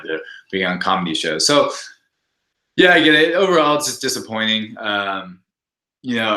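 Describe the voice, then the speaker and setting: Young male podcast guest, sharp bright edge to the voice, loose halting conversational opinions, audible room echo. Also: sits low. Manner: halting, rambling, peppered with "like". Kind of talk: casual chat, opinionated commentary. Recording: echoey, roomy sound.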